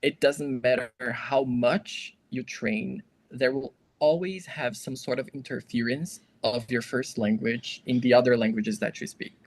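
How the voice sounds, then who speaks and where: A man takes part as the guest expert on a podcast. He speaks in a middle pitch and explains things patiently and step by step in a teacherly way, often turning to address the audience directly. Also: steady tone, measured pace, hardly any pauses. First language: Portuguese. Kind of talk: explanatory conversation.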